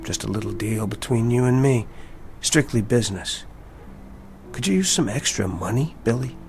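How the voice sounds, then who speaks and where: Actor as gravelly, scheming working-class wheeler-dealer. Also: male voice, mid-range voice, lively intonation, normal speed, a little mellow, faint music behind, close to an everyday voice. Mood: sly, coaxing. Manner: colloquial, coaxing, sly. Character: scheming working-class garage attendant, smooth-talking deal-maker. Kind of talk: television drama acting.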